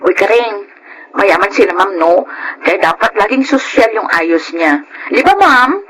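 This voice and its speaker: A woman with a Filipino accent playing a sly, pushy housemaid who flatters and wheedles, in radio drama style. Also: mid-range voice, measured pace, background noise. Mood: flattering.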